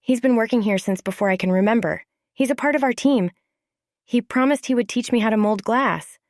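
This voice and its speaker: A woman's voice: a little high, fairly quick, no echo, clean studio sound, pitched above the performer's own voice, lightly acted. A woman voicing an eager, earnest teenage girl who works construction, in an audio story. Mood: dismayed, pleading. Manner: eager, direct.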